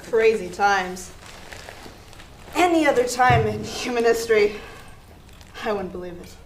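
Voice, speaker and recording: A woman's voice: mid-range voice, lively intonation, unhurried, close to an everyday voice. A woman in a stage play playing a level-headed associate who dryly challenges a loan shark. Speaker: actor in a school play. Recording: roomy live theater, audible noise.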